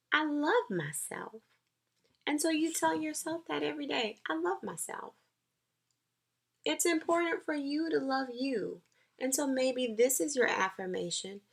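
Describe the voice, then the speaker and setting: Woman school counselor talking to little kids, real slow n deliberate, bright clear-cut tone, encouraging. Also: a little high. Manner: encouraging, nurturing. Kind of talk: encouraging talk addressed to young children.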